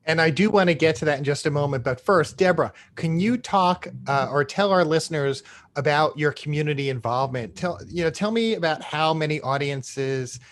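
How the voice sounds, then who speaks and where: A man's voice: on the high side, brisk, a bit bright. A man hosting an interview, putting questions to his guests in a conversational way. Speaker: interview host.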